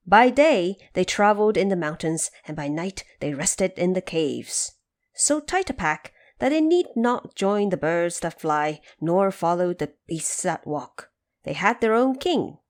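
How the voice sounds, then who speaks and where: A woman storyteller giving a dramatic, expressive scripted narration of a tale. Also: on the low side. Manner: expressive, theatrical.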